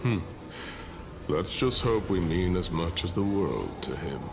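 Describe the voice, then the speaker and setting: A man's voice: low-pitched, expressive, unhurried. An actor playing the spirit of a grim, battle-worn male warrior in a video game, somber and resigned.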